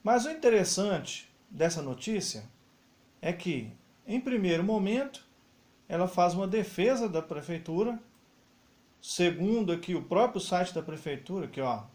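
High-voiced man commenting on news. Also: lively intonation, measured pace, darkish tone. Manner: explains while pointing at web pages on screen. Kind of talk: screen-recorded news commentary. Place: voice-over over screen recording.

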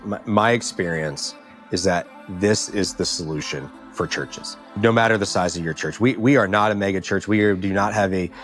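A man in his thirties with a low voice gives an interview testimonial over background music. He talks conversationally, repeating words to drive his points home. He sounds like someone explaining his own experience. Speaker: church audio team member giving testimonial. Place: small indoor audio control studio, seated. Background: music bed.

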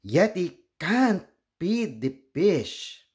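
A man's voice: sits high, very animated, extremely slow, darkish tone. A man from the Philippines hosting a children's read-aloud, telling the story expressively and acting out the lines and sound words. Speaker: children's storybook reader and host.

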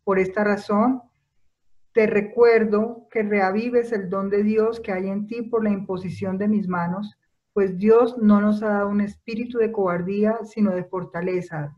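A woman reading a Bible passage aloud as lector from a room at home, steady and earnest, filmed close on a webcam. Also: medium pitch, muffled recording. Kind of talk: reading scripture.

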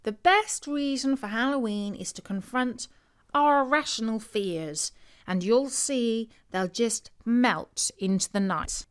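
A woman's voice: fairly high pitch, slow, smooth. Woman reading as a firm, bold British uncle. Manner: firm, commanding. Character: bold, dismissive uncle leading the family.

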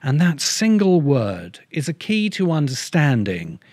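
A middle-aged man delivering scripted documentary narration in a grave, deliberate storytelling manner with short, weighted phrases. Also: a little high, huge pitch swings, a little mellow, no echo. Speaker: host and narrator of a science fiction video essay channel. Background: music.

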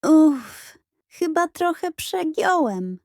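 A woman's voice: high-pitched, big pitch swings, very slow, darkish tone, no echo, studio quality, voice pushed up, full character voice. A woman voicing a boastful, determined, self-important young boy in a children's audio story. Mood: groaning, disappointed. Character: Piotrek, boastful, determined young boy, an older-acting brother.

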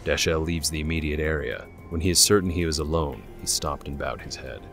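Very deep male fantasy narrator. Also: steady tone, brisk, fairly bright tone, faint music behind.

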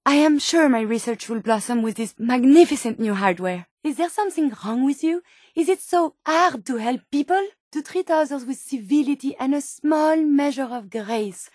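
A woman's voice: sits high, lively intonation, normal speed, a little mellow, no room sound, clean studio sound. A woman voicing a polite, earnest female robot scientist companion in a video game, with a French accent and precise, formal, inquisitive speech. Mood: reflective, then indignant, hurt.

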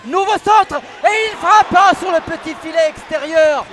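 A man giving passionate, excited live football commentary on the radio, often breaking into shouts. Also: extremely high pitch, normal speed. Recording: stadium radio broadcast, loud crowd noise. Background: noisy football stadium crowd.

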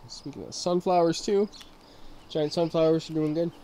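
A man's voice: a little high, moderate pace, a little hiss or hum. Stuffed-up man touring his garden. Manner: relaxed, rambling, easily amazed. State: stuffed up from a cold. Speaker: gardening channel host. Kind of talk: casual garden tour vlog. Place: backyard garden outdoors at dusk. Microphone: handheld camera mic, filming himself walking.

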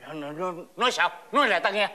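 Greedy, swooping, high-voiced rich master. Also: male voice, lively intonation, pushed and bright, exaggerated voice. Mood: scheming, indignant. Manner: halting, theatrical, drawn-out repetitions. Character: greedy, scheming, theatrical rich male master. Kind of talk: comic Vietnamese fairy-tale screen acting.

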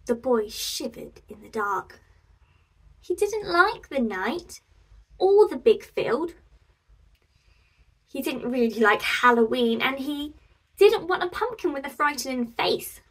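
Young lady storyteller for little kids, slow n bright, super expressive, mic a bit far. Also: high voice, husky. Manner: animated, expressive, addressing young children. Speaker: children's storyteller and host. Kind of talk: picture-book read-aloud for preschoolers.

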